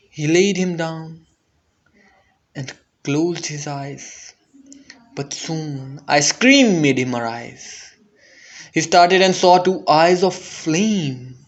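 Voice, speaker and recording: A man with a fairly high voice recites narrative poetry very deliberately. His delivery is theatrical and intense, at a slow pace, on a slightly dull recording. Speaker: poetry reader.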